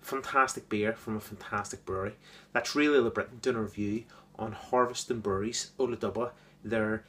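A man giving an enthusiastic, casual beer review, repeating words and filling pauses with ums. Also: on the low side, steady tone, fairly bright tone.

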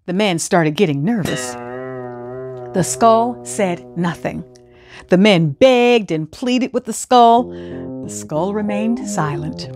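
Middle aged lady doing kids storytime, very expressive, voice goes up and down a lot. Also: mid-range voice, takes it slowly, over music. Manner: theatrical, animated. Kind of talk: expressive children's read-aloud narration. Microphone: lapel mic.

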